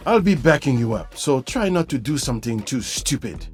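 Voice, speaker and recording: A man's voice: medium pitch, sing-song, normal speed, darkish tone, faint music behind, close to an everyday voice. A man voicing a principled, weary ex-mercenary in a video game, speaking in an earnest, firm way. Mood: wry. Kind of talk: video game character voice acting.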